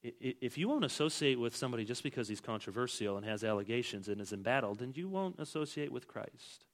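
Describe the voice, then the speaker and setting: A middle-aged male pastor preaching an expository sermon, swinging between loud, stressed phrases and quiet asides. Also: medium pitch, lively intonation, quick pace. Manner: emphatic, with big swings in loudness.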